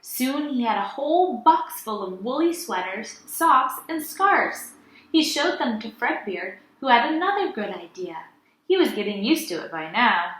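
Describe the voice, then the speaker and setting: A woman teacher reading a storybook aloud to children in an expressive, animated way. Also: on the high side, measured pace, echoey room, low-quality recording. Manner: animated, addresses children as friends.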